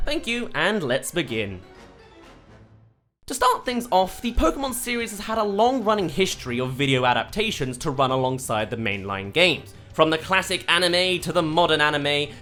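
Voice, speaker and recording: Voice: medium pitch, a bit bright. A video reviewer reading a scripted essay with an emphatic, presenter-like delivery. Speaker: video reviewer and channel host. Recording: music behind the voice. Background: background music.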